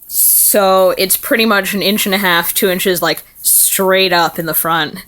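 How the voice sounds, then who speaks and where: A woman's voice: fairly low pitch, bright, forceful tone. A young American woman teaching abroad, chatting in a casual video letter to a friend, rambling with lots of ums and likes. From United States.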